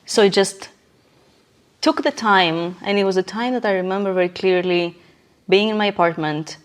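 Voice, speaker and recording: A woman's voice: mid-range voice, normal speed. A woman reflecting on personal experience in casual podcast conversation, emphatic and often checking in with the listener. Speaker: podcast guest.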